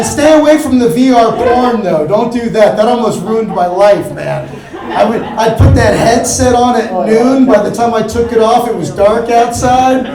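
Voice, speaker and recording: A young male comedian with a very high voice works a club stage in a dry, offhand, deadpan way. His set rings through a roomy space, and a loud crowd laughs behind him. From Miami, Florida. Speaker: stand-up comedian. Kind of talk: stand-up set. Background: audience laughter.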